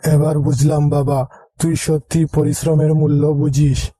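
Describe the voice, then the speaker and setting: A man's voice: on the high side, level tone, a little slow, dark tone, no room sound. A man playing a firm, authoritative older village headman, stern but fair, in a storybook tale. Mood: pleased.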